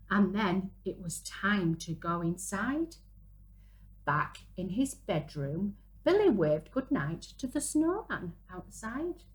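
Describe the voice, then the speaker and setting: A woman, reading a picture book aloud to young children in an animated, dramatic way. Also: medium pitch, low-quality recording. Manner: animated, dramatic gasps and drawn-out exclamations. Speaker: children's storyteller, early years presenter. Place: small room at home, indoors.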